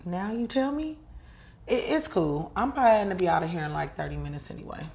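A woman's voice: lowish voice, expressive, quick pace, creaky, a little mellow. An actress playing an exasperated young girlfriend in a naturalistic comedy skit, with an American accent. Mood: casual. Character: exasperated, fed-up young woman arguing with her boyfriend. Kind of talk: comedy skit acting, naturalistic couple banter.

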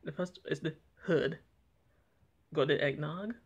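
A young man talking plainly and a little haltingly as he reviews eggnog on a home vlog, filmed by a camera on the table in a small room. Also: on the high side, husky. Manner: plain, unhurried, slightly halting talk. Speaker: product reviewer. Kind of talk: casual taste-test vlog. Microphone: camera on table in front.

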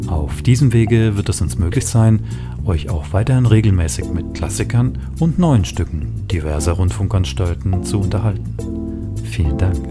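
A man's voice: deep voice, lively intonation, normal speed. A man delivering a scripted welcome as a channel announcer. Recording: clear music bed behind voice. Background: music.

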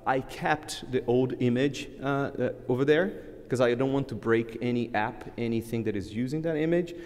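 Man, Portuguese native, conference speaker, lowish voice, relaxed with fillers, long hall reverb. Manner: relaxed, frequent fillers and restarts. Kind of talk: live technical talk with demo. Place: large conference hall, at a lectern.

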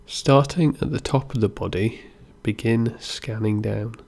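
A man gently guiding a meditation as an instructor, in an unhurried way with pauses between instructions. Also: medium pitch, creaky. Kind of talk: guided body scan meditation narration.

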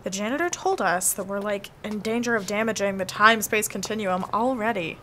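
Bright-voiced, blunt young American college girl, acted by a woman. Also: mid-range voice, fairly quick, natural voice. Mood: uneasy. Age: young adult. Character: blunt, sceptical young female college student. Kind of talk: audio drama acting. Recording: faint background noise.

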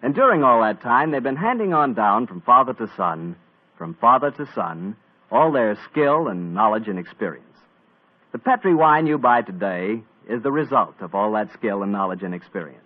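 A young male radio announcer with an upbeat, smooth 1940s commercial delivery. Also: medium pitch, very animated, moderate pace. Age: young adult. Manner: upbeat, smooth salesman patter. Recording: tinny old radio broadcast.

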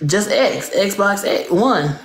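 A woman playing a prim, judgmental churchgoing woman in a live chat game, with a blunt, sharp-tongued manner. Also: medium pitch, animated, slow. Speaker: livestream guest. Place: room lit purple, indoors. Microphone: phone camera on a live stream. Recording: roomy phone livestream audio.

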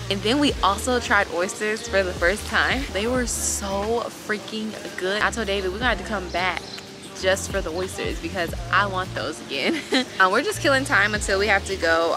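A young woman chatting casually to her phone camera at arm's length while vlogging outdoors at a sunny resort, bubbly and quick to laugh. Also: mid-range voice. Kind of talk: casual travel vlog chat. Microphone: phone camera held at arm's length.

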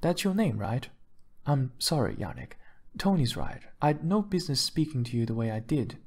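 A man voicing a wealthy, glamorous wife who is breezy, touchy and charming, gushing and quick to defend herself in an audiobook story. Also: medium pitch, very animated, a little mellow, breathy edge. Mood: apologetic. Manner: gushing, defensive, pet names for husband.

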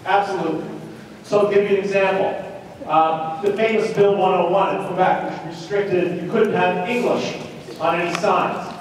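A man's voice: high voice, normal speed. Male university lecturer, conversational and questioning, recorded from far off with background noise. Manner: animated, rhetorical questions and check-ins. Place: lecture hall. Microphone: distant room mic. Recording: roomy echoing lecture hall, distant mic, noisy.

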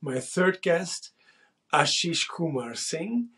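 A man's voice: fairly high pitch, animated, slow pace, bright, forceful tone. A man in his forties, the host, talking thoughtfully to camera from a small room, often restarting his sentences. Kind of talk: casual monologue to camera.

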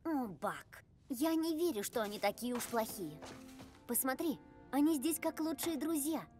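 High-pitched, plucky young gnome girl. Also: a little slow, soft background music. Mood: earnest, determined. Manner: spirited, confident, expressive. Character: plucky young female gnome eager to prove herself. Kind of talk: animated film dubbing.

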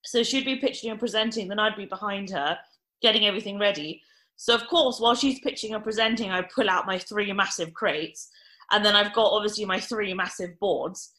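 Bright-voiced woman telling a lively story. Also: medium pitch, a little fast, rough recording. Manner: animated anecdote-telling with many fillers. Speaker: restaurant owner guest. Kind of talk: podcast interview storytelling.

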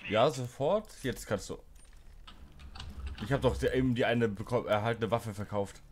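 A man's voice: medium pitch, moderate pace, slight background noise. A young man chatting casually during a co-op video game, giving short, offhand reactions. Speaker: let's player. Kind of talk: casual co-op gaming chat.

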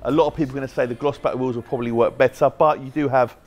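A man's voice: medium pitch, fairly even intonation, very fast, a little mellow, faint music behind. A British man in his thirties, hosting a casual, jokey walkaround of his car wrap workshop and chatting with his staff as he goes. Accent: British English. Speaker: car wrap shop owner and host. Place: large bright indoor car workshop.

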